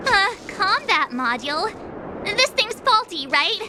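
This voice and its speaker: A cheeky, whiny game girl, very high in pitch. The delivery is unhurried and swings expressively. Clear noise sits behind the voice. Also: heavily acted. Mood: startled. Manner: expressive, complaining. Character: cheeky, whiny, easily startled young girl adventurer. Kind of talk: scripted game cutscene dialogue.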